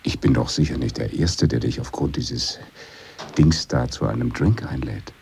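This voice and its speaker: A man's voice: a bass voice, normal speed, gravelly, darkish tone, a little hiss or hum. A man playing a nosy, flirtatious bar customer in a radio drama, probing and insinuating. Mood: curious, flirtatious.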